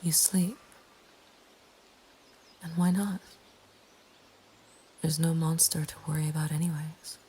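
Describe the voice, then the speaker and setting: A woman narrating a scripted horror story in a breathy ASMR whisper, with a hushed, deliberate delivery. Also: sits low, flat delivery. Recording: faint ambient background noise. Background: soft nature ambience.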